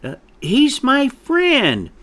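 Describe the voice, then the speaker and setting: A man's voice: medium pitch, big pitch swings, slow, pitched above the performer's own voice. A man voicing a timid young male chick in a storybook, earnest and quavering as he tries to stand up for himself. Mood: quavering defiance. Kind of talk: animated storybook character voice.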